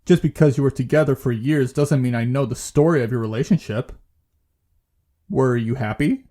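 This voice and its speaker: An actor playing a calm, patient therapist in an audio drama, measured and gently probing with questions. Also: male voice, mid-range voice, natural voice. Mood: neutral, questioning. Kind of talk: naturalistic audio drama dialogue.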